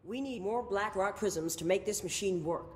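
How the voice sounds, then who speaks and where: A woman's voice: medium pitch, moderate pace, darkish tone, a little hiss or hum, lightly acted. An actress playing a no-nonsense middle-aged woman who heads operations in a video game, terse and authoritative. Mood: brisk, commanding. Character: no-nonsense middle-aged female operations chief, guarded, commanding. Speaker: head of operations.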